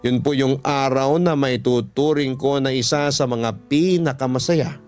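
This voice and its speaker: A male radio host with a mid-pitched voice narrates a scripted letter in first person. His delivery is measured, polite and sentimental, with soft music playing behind him. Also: steady tone.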